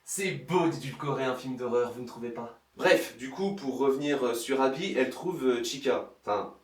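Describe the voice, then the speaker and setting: A man's voice: mid-range voice, animated, normal speed. A man narrating a sarcastic parody film review in a mocking, theatrical manner. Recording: roomy, distant mic, faint noise.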